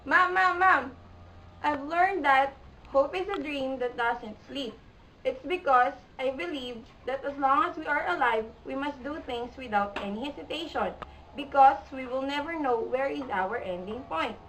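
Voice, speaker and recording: A woman's voice: high-pitched, unhurried, a bit bright, slight background noise. A woman playing an eager, devout schoolgirl reciting in an amateur puppet show. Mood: earnest. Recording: echoey, distant, music behind.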